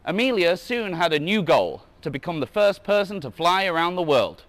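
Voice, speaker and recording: A man with a somewhat high-pitched voice reads a children's book aloud like a museum guide. He slips casual asides into the text and corrects himself when he trips on a word. The sound is dry, with very little room echo. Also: very animated, normal speed, fairly bright tone. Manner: stumbles over words and corrects himself.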